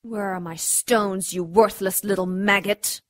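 A woman's voice: mid-range voice, steady tone, unhurried, fairly bright tone, no echo, clean studio sound, close to an everyday voice. An actress playing a seductive, imperious demon queen in a radio drama, cruel and mocking, moving between honeyed coaxing and haughty command. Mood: commanding, menacing.

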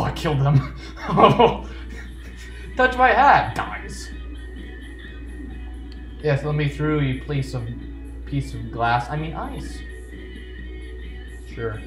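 Slow, jokey man over game music. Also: on the high side. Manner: playful, joking, reads on-screen text aloud. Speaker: gamer. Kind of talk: let's play commentary. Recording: roomy echo with game music.

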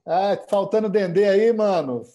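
Mellow man moderating a video panel. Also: high-pitched, a little slow, lo-fi sound. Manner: relaxed, conversational, with filler tags. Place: home room on a video call. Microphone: computer mic on a video call.

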